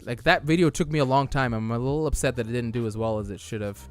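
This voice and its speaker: A man's voice: mid-range voice, quick pace, soft background music. A young man live-streaming, reading viewer chat aloud and answering it casually, his voice wearing out from a long stream. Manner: chatty, reads chat aloud and answers it, loose restarts. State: losing his voice.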